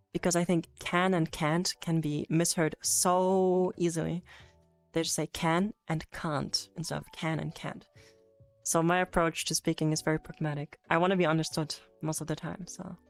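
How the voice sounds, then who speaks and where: A woman's voice: deep voice, little pitch movement, moderate pace, no echo, soft background music. A young woman, a Polish native speaker, with an English accent that mixes American and British, chatting casually on a stream in a rambling, self-correcting way full of fillers. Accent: mix of American and British English. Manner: rambling and self-correcting, frequent fillers and restarts, laughs easily. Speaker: VTuber streamer. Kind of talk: casual livestream chat, reading chat aloud.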